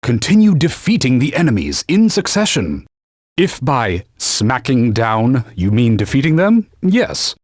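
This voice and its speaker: A man's voice: medium pitch, wildly swooping pitch, measured pace, dry recording, clean studio sound. An actor playing a haughty male talking sword, a stern mentor who gives orders and scolds in old-fashioned knightly phrasing, for a video game. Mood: instructive. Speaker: game character.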